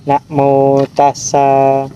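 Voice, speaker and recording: A man from the Philippines with a Filipino accent, vlogging in a relaxed, hesitant way and laughing easily. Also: medium pitch, extremely slow, slight background noise. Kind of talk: casual vlog narration and chat.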